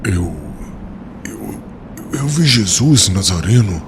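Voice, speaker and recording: A man playing a young rabbi in an audiobook drama, newly blind, speaking humbly and haltingly with deep sorrow. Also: heavily acted. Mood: stricken, contrite. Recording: clear steady background noise.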